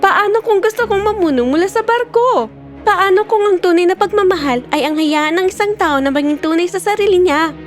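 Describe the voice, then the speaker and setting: A woman as very high-voiced, spirited young princess. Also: expressive, a little fast, faint music behind. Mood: defiant. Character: bold, defiant young pirate-hearted princess. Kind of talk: animated fairy tale dialogue.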